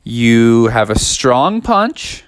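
A man acts out a loyal coach and buddy from a storybook boxing tale. He gives slangy, encouraging instructions with lively pitch movement, recorded close and dry. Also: extremely slow, smooth, no room sound. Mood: laid-back advising. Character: laid-back frog's boxing coach, a strategizing loyal buddy.

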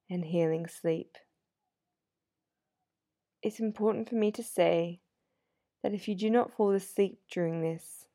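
A woman guiding a sleep meditation in a soothing, unhurried, gently instructive manner. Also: on the low side, no echo, studio quality. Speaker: yoga nidra meditation guide. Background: soft sound healing instrumental music.

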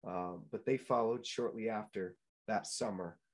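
Earnest young man, lower voice. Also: measured pace. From New England, United States. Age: young adult. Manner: earnest storyteller, many fillers and restarts. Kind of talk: casual interview chat.

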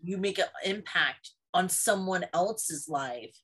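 A woman's voice: on the low side, takes it slowly, fairly bright tone, breathy edge. A woman author chatting casually as a panelist on a podcast recorded over a video call. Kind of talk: casual chat.

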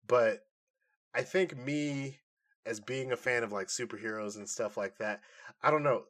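A man with a mid-pitched voice talks as a podcast co-host in a loose review chat. He is opinionated and slangy, speaking in an informal, conversational way. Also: unhurried, a bit bright.

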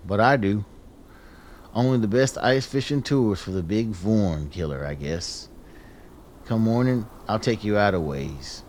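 A man's voice: fairly low pitch, measured pace, a little mellow, a little hiss or hum, lightly acted. A man acting in a radio drama as a blunt, grudging safe house keeper with folksy, clipped speech. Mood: blunt, wry. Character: gruff, grudging husband running a resistance safe house.

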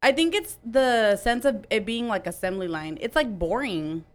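A woman's voice: a little high, lively intonation, moderate pace. A woman in her late twenties chatting casually on a podcast, fills her talk with "like" and laughs easily. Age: 20s. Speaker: podcast co-host.

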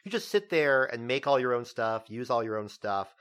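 A man's voice: medium pitch, animated, moderate pace, fairly bright tone. A man co-hosting a casual podcast, explaining ideas at length in a lecturing way. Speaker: podcast co-host.

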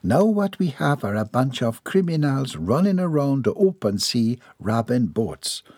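A man's voice: mid-range voice, very animated, darkish tone, dry recording, studio quality, voice pushed up. A man putting on a prim, commanding lady. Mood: proper. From Jamaica. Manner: prim, formal, brisk. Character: proper, commanding mistress of a mansion.

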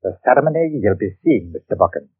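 Raspy, swooping manservant on old radio. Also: male voice, fairly low pitch, big pitch swings, no room sound. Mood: grim, knowing. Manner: deferential, ominous, deliberate. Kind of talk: 1940s radio acting. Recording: thin, narrow-band 1940s radio recording.

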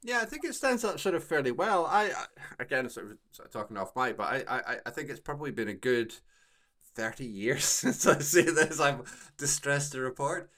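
Middle aged guy hosting a podcast, bright sharp tone, chatty, wordy, mock formal phrasing. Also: mid-range voice, animated, moderate pace. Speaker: podcast host. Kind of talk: casual chat.

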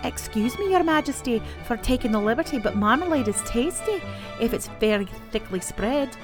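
A deferential young dairymaid acted by a woman speaks in a high, lilting voice. She is eager and apologetic, in storybook style. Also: lively intonation, normal speed, music in the background, natural voice. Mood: timid, polite. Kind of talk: dramatized children's poem reading.

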